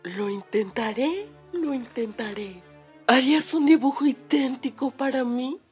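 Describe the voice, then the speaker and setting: Woman voicing excitable eccentric officer lady, husky, breathy, lecherous, anime parody, soft music behind. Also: sits high, unhurried, full character voice. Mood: delighted. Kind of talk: comedic fandub parody acting.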